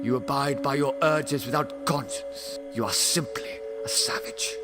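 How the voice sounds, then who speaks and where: A man's voice: mid-range voice, a little slow, natural voice. A man playing an impassioned, scornful alien in a fan audio drama, delivering grand declamatory speeches. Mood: scornful, lecturing. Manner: theatrical, declamatory. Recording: loud music over the voice.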